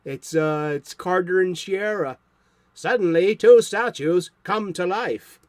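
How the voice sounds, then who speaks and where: Chatty higher-voiced man from Boston. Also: slow, a little mellow, no room sound. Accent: light Boston. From South Boston, USA. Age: 50s. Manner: chatty, rambling and enthusiastic. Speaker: comic book show host. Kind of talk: casual chat to the viewer.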